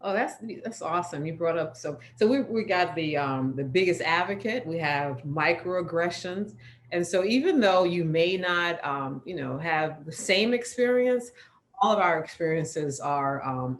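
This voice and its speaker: A woman with a low voice moderates a panel with enthusiasm, thanking and affirming each speaker. A faint hiss of background noise sits under her voice on the call.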